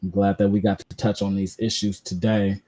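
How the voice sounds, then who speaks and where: A man's voice: lowish voice, level tone, brisk, mellow. A man co-hosting a podcast, talking in a loose, rambling way with many fillers. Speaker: podcast co-host. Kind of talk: casual chat.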